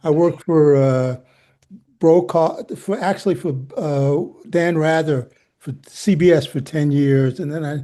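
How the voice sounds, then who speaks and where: A man's voice: fairly high pitch, dark tone. An older man from New York with an American accent, casually hosting a group conversation. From New York, United States. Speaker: host, veteran TV newsman. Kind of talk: casual moderating.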